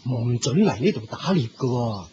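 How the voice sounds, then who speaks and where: A man playing an amiable older Indian villager in a radio drama, chatty, folksy and expressive. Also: medium pitch, moderate pace, natural voice. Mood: explaining. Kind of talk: Cantonese radio drama acting. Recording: dull, noisy old radio recording.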